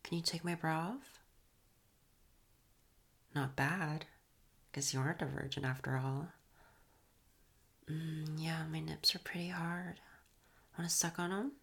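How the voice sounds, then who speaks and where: A young adult woman performing an intimate audio roleplay in a soft, teasing whisper with breathy murmurs and quiet chuckles. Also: low-pitched, steady tone, a little slow, long pauses.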